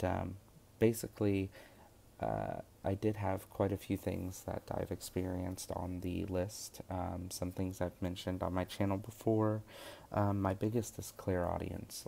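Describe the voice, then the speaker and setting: A man's voice: sits low, gravelly, dark tone, slight background noise. A young man talking through his witchcraft course lessons in a casual, rambling way, full of fillers and restarts. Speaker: witchcraft course student vlogger. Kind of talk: casual spoken vlog.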